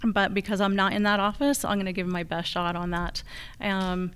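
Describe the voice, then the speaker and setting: A woman's voice: medium pitch, fairly even intonation, moderate pace, fairly bright tone, clean tone. A woman with an American accent giving plain-spoken, conversational answers as a candidate at a forum. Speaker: candidate for local assessor.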